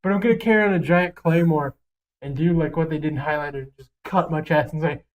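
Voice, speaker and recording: A man's voice: a little high, quick pace, narrow, tinny audio, a little hiss or hum. A man co-hosting a podcast in casual chat, brash, profane and joking. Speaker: podcast co-host.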